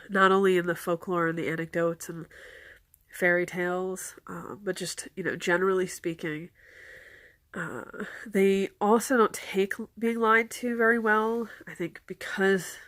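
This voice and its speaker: A woman with a stuffed-up nose from a cold, calmly explaining folklore and giving practical advice in a casual, earnest way. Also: medium pitch, a little slow, no room sound.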